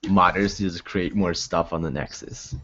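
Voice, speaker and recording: A man who reviews mods, chatting in a relaxed, hesitant way with lots of filler words. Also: deep voice, normal speed, lo-fi sound, a little hiss or hum. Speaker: mod reviewer. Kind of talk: casual group chat.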